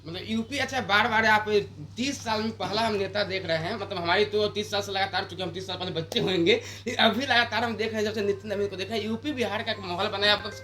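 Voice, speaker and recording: A man's voice: sits high, sharp tone, slight background noise. A man reporter asking probing questions in a street interview, often cutting in. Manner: probing questions, quick affirmations.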